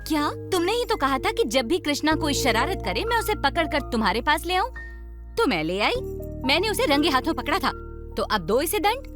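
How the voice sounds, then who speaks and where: A woman playing an excitable, exasperated village mother in a children's cartoon, with a dramatic, indignant manner. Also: sits high, brisk, music in the background.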